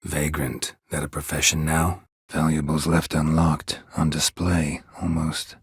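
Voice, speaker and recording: An actor playing a gruff, weary, stoic monster hunter in a video game, a gravelly voice and a terse, deadpan delivery. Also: male voice, a little slow, no echo, exaggerated voice. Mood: dry, terse. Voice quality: husky, gravelly low voice. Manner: terse, clipped, deadpan, dry. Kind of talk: video game character dialogue.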